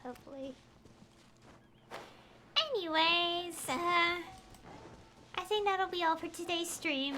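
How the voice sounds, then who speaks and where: A woman streamer with a high, very animated voice rambles casually to viewers. She sounds opinionated and exasperated, leaning on words with pleading emphasis. There is faint noise behind her. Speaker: game streamer. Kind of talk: casual rambling livestream chat.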